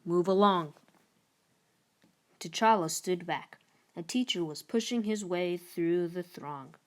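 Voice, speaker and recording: Woman narrator, lowish pitch, slow pace, expressive children's story reading. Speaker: read-aloud narrator.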